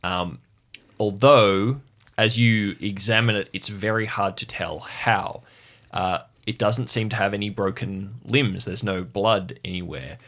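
A man narrating a tabletop role-playing game as game master, in a measured, descriptive way. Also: lowish voice, a bit bright.

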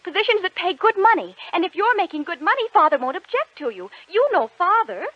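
A woman's voice: extremely high pitch, fairly quick, no echo. An actress playing an eager young woman in love who coaxes her sweetheart into a bold plan, in an old radio drama. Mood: coaxing. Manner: eager, coaxing, animated. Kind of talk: 1940s radio acting. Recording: dull lo-fi old radio recording.

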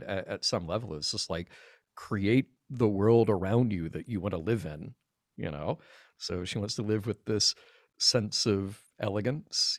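A man's voice: fairly low pitch, no room sound, clean studio sound. A man from Alabama with an American accent, talking thoughtfully and discursively in a casual podcast discussion. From Birmingham, Alabama, United States. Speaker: podcast guest.

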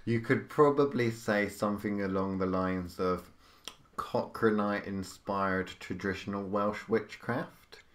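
A man hosts a podcast interview in a very deep voice. He builds his questions slowly and at length, with hesitations and restarts along the way. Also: steady tone. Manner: rambling, long-winded questions with hesitations. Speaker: podcast host. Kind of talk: casual interview chat.

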